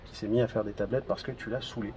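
Man in his thirties, mellow voice, hesitant fillers, outdoors with faint noise. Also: on the low side, fast. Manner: relaxed, hesitant with many fillers. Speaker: event organizer presenting trophies. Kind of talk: casual on-camera chat. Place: outdoors in a garden.

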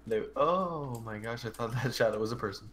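A man's voice: mid-range voice, fairly quick, fairly bright tone, slight background noise. A man playing as a teammate over voice chat, teasing his friend playfully. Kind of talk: casual gaming chat.

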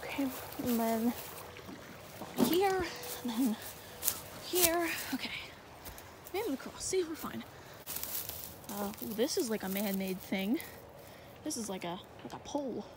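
A young woman with a fairly high voice wanders through a dark marsh at night, filming on her phone. She rambles and hesitates, filling her speech with little fillers. The phone recording carries plenty of outdoor noise. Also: animated, a little slow, long pauses. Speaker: vlogger. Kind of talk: handheld night walk vlog. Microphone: phone held in hand.

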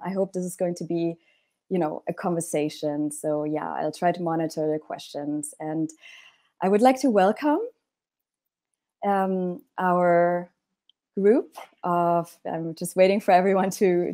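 German-accented woman moderator, low and warm, welcoming with frequent ums, earphone mic on a video call. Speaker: panel moderator. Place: indoor room at home.

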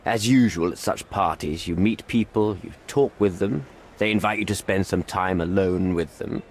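An actor playing a middle-aged envoy in a video game, formal, evasive and smooth-talking, with a placating, pleading manner. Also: male voice, deep voice, moderate pace, a little hiss or hum. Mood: evasive, regretful. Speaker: British envoy. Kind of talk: video game cutscene acting.

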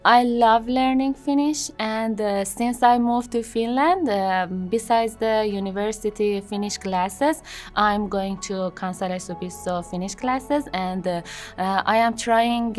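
A woman in her thirties from Iran, a native Persian speaker, introducing herself to camera in a studio, with many hesitant fillers. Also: mid-range voice. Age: 30s. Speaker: job seeker introducing herself. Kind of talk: unscripted self-introduction to camera. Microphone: seated, medium shot.

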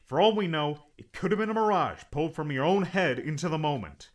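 A man's voice: fairly high pitch, animated, brisk, natural voice. A man acting a stern, exasperated friend in an audiobook, blunt, scolding and insistent.

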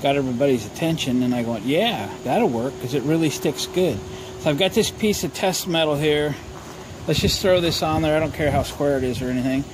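A man's voice: on the high side, animated, brisk. A man hosting a casual DIY tool demonstration in an informal, folksy way, thinking aloud as he talks. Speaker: DIY workshop host. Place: driveway and open garage workshop. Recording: clear background noise, outdoor ambience.

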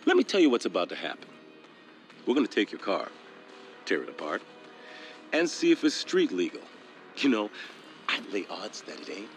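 A game cutscene where a smug American cop leans on you with a low, swooping, theatrical drawl and open menace, music underneath. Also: male voice, huge pitch swings, moderate pace, long pauses. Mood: mocking. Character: cocky, menacing street-hardened police sergeant, taunting. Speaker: police officer. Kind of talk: video game cutscene acting.

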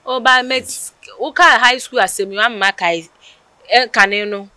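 A young Ghanaian woman with a Ghanaian accent hosting a casual outdoor interview, lively and laughing, with many short acknowledgements, on a headset mic. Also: a little high, moderate pace, clean tone, dry recording. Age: young adult. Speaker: interviewer host. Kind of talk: casual interview in Twi mixed with English. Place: outdoor porch by brick wall.

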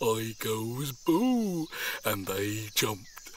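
A man's voice: lowish voice, very animated, very slow, heavily acted. A male actor playing a naive, simple creature with rustic grammar in an audio drama. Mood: gleeful, sheepish. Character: childlike, simple creature guarding its ancestral park. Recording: audible outdoor nature noise.

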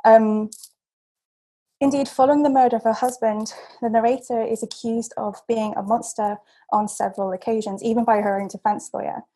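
Young woman seminar speaker on a webcam call, reading her paper at a measured pace with ums and restarts; warm, slightly husky voice. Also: fairly high pitch, steady tone, low-quality recording. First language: English. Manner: measured, with frequent ums and self-corrections.

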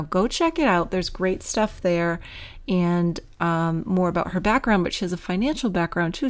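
A woman in her forties hosting a podcast, talking in a chatty, upbeat way and laughing often. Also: lowish voice. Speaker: podcast host. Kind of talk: casual podcast chat.

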